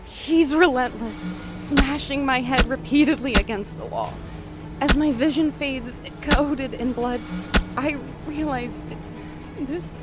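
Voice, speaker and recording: A woman's voice: high-pitched, takes it slowly. An actress playing a haunted female survivor of a killer's attack, narrating an audio drama with solemn intensity. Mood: desperate. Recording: music behind.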